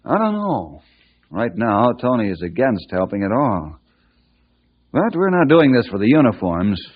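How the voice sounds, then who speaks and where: Casting: reassuring, upright American mentor for a boys' gang, widely varied intonation, old dull radio recording. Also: male voice, fairly low pitch, very animated, measured pace. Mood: firm, persuasive. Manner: earnest, moralizing, reassuring. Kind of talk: old-time radio drama acting.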